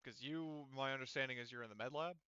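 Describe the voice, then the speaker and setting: A man's voice: medium pitch, fast talker, pushed and bright, clear, resonant tone, no room sound, muffled recording. A man acting as game master in a casual tabletop roleplaying session, thinking aloud as he works through rules and counts.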